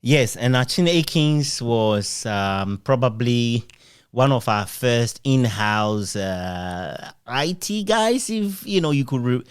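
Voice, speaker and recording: A man with a Ghanaian accent interviewing in a casual, reminiscing way, expressive and given to chuckling between phrases. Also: medium pitch, slow pace, clean tone, dry recording.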